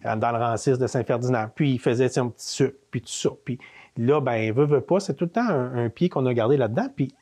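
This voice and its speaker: A man's voice: mid-range voice, brisk, darkish tone. Quebec man in his fifties, rambling. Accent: Quebec French. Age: 50s. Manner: rambling, many fillers and restarts. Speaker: interview guest, manor co-owner. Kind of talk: casual storytelling interview.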